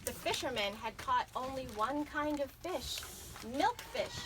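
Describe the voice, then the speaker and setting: Female storyteller for kids' picture book, unhurried and dramatic, higher register, noisy live event. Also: expressive. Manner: dramatic, stretching out key words. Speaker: author reading aloud to children. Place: bookstore reading event. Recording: noisy live reading with audience sounds.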